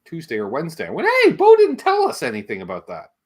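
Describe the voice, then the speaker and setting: Animated man hosting a casual livestream. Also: fairly high pitch, quick pace, husky. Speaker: livestream show host. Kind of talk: casual chat, reading out viewer comments.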